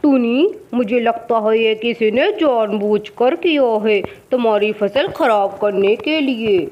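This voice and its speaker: Woman voicing a slow-talking, sensible cartoon bird. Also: a little high, pitched below the performer's own voice, natural voice. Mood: concerned. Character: supportive, sensible grown bird neighbour. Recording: muffled, with music behind.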